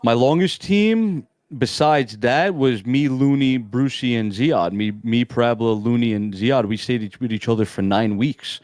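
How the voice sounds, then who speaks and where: A young man in casual interview chat, talking in a strong monotone, laid-back and slangy, rambling with restarts. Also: mid-range voice, normal speed, hardly any pauses, no room sound. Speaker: amateur esports player, guest.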